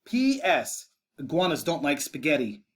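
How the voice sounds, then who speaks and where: A man's voice: on the high side, very animated, measured pace, voice pushed down. A man voicing an eager young boy in a storybook, earnest and wheedling as he tries to persuade his mother. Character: eager pleading young boy writing letters to mom. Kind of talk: storybook read-aloud for children.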